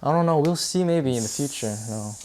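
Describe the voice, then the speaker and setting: A man's voice: a little high, lively intonation, fast, a crowd in the background. A teenage man talking in an easygoing, reflective way. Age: teens. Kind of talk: casual chat.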